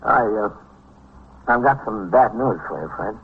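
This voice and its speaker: An actor with an American accent playing a steady, sombre police captain in a vintage radio drama, measured and understated. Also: male voice, fairly low pitch, vocal fry, breathy edge, exaggerated voice. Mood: gentle, grave. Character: steady, sombre police captain, considerate toward his men. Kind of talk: vintage American radio drama acting and first-person narration. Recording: tinny, noisy vintage radio recording.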